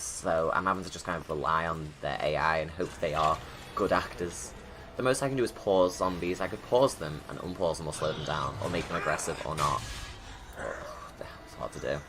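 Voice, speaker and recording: A low-pitched man chats informally as a creator commenting on his own video. Noticeable film sound and ambience run behind his voice. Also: a little fast, fairly bright tone, a little hiss or hum. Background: game movie soundtrack and ambience.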